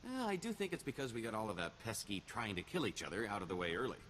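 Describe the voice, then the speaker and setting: An actor playing a roguish, glib smuggler and con artist in a video game, with a wry, theatrical delivery. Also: male voice, on the low side, sing-song, fast, fairly bright tone, slight background noise. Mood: upbeat, boastful. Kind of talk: video game character dialogue.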